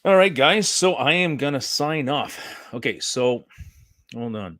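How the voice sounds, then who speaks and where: A man hosting a live-streamed talk show in a casual chatty way, hoarse and losing his voice, rambling and clearing his throat often. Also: mid-range voice, lively intonation, a little slow, fairly bright tone, no echo, studio quality. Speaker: host.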